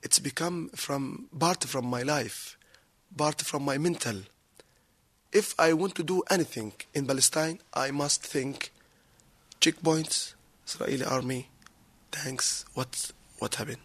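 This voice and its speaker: Halting husky young man. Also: mid-range voice, no echo. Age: 20s. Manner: halting, searching for words. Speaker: young actor.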